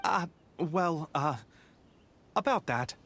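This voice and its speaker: A man's voice: on the high side, lively intonation, slow pace, fairly bright tone, exaggerated voice. A man playing a polite, nervous office clerk in a video game, apologetic, formal and hesitant. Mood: flustered.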